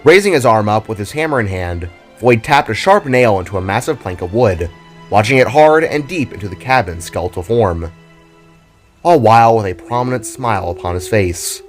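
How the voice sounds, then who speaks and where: A man's voice: fairly low pitch, animated, normal speed. A man narrating a scripted dramatic story in a theatrical, storybook manner. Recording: music under the voice. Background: background music.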